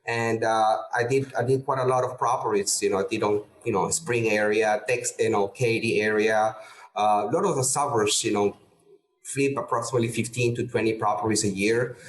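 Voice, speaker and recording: A middle-aged man from Peru, talking casually as an interview guest, halting with frequent fillers and restarts. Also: on the low side, steady tone, roomy sound, low-quality recording. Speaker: guest real estate investor.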